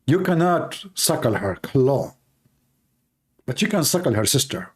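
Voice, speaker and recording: A man's voice: medium pitch, sing-song, a little slow, breathy edge. A man hosting a live stream, giving scornful, mocking commentary with frequent laughter and non-native grammar. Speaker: host of a religious debate channel.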